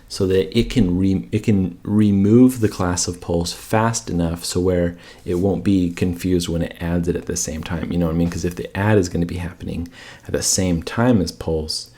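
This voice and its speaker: Casual low-voiced man teaching code. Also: dark tone. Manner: informal, often checks in with rhetorical questions. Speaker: presenter of a coding tutorial channel. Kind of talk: casual coding screencast tutorial, thinking aloud.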